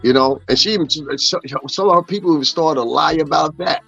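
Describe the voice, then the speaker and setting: A man's voice: on the high side, brisk, vocal fry, a bit bright, slightly rough, lo-fi sound, soft background music. Profane ranting man on a stream. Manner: profane, ranting, repeats "you understand what I'm saying". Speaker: commentary streamer. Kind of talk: casual gossip commentary.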